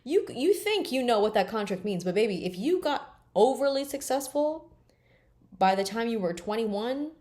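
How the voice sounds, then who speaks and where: A woman's voice: a little high, expressive, normal speed, darkish tone. A woman in her late twenties talking bluntly and emphatically in a candid personal vlog, swearing freely. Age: 20s. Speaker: vlogger.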